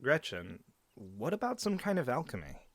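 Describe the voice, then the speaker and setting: A man's voice: medium pitch, wildly swooping pitch, moderate pace, fairly bright tone, no room sound. Guy as young werewolf son, earnest, voice really moves up n down, dry close audio drama sound. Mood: urgent, earnest. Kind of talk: audio roleplay acting.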